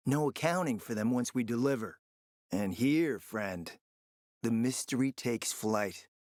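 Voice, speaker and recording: A man playing a suave, flirtatious, scheming spymaster in a video game, with a smooth, theatrical, sardonic and teasing manner. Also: medium pitch, lively intonation, unhurried, a little mellow, no room sound, studio quality, lightly acted. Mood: conspiratorial. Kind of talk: video game character acting.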